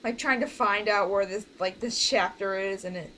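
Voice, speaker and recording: A woman's voice: medium pitch, moderate pace, sharp tone. A woman leading a casual online group meeting, talking informally through instructions step by step. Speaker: organizer leading a voice project meeting.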